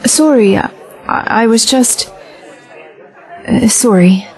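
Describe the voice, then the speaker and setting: A woman's voice: fairly high pitch, slow, darkish tone, some chatter behind. A woman playing an uncertain, defensive young companion in a science-fiction audio drama, slightly hesitant and stammering. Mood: flustered.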